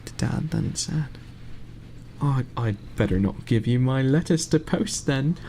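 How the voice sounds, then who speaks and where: A man reading aloud in a soft ASMR bedtime style, hushed and often slipping into a whisper. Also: mid-range voice, lively intonation, a little slow, creaky, slight background noise.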